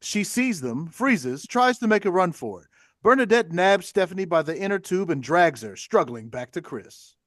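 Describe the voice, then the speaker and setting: A man's voice: sits high, big pitch swings, moderate pace, fairly bright tone, no room sound, studio quality. A man reading stage directions aloud as scripted narration for a play.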